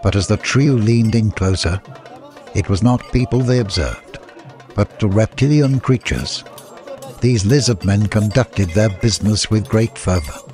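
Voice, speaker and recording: Low-pitched male storybook narrator. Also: unhurried, a little mellow, faint music behind. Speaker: audiobook narrator.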